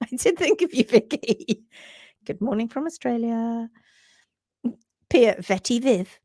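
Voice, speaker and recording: A woman hosting a live stream on hoof care, talking quickly and repeating herself, laughing often as she reads out viewer posts. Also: on the high side, animated, dark tone, dry recording, clean studio sound. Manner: rapid-fire, repeats words, chatty. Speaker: livestream host, hoof care teacher. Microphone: livestream setup.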